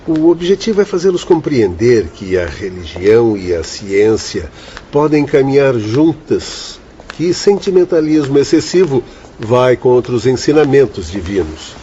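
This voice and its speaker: Earnest, mellow-voiced spiritual teacher. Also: male voice, medium pitch, sing-song, a little slow. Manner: formal, didactic. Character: earnest, courteous male teacher in the spirit world. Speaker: radio drama actor. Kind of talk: radio drama acting. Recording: dull audio with faint background noise.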